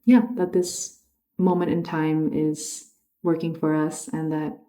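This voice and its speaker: Low, mellow, tired woman vlogger. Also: little pitch movement, slow. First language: Spanish. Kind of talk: casual reflective chat to camera.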